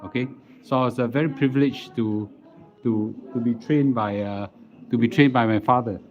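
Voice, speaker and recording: A middle-aged man with a Singaporean accent chatting casually as a livestream host, halting and repeating words as he goes. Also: medium pitch. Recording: dull lo-fi live stream audio.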